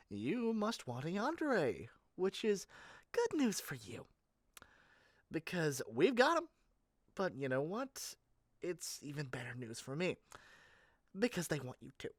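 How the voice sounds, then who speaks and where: Performer as a frazzled, cynical sales rep for an audio roleplay; mid-pitched, lively swoops, chatty theatrical asides, dry recording. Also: no room sound. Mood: animated, exasperated. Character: eager, shady, frazzled yandere matchmaking salesperson, cynical and fast-talking. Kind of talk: scripted audio roleplay monologue to one listener.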